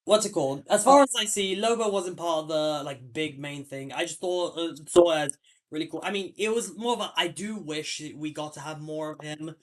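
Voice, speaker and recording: A man's voice: on the high side, brisk. A man hosting a casual film-talk podcast, rambling with lots of fillers and restarts. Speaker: podcast host.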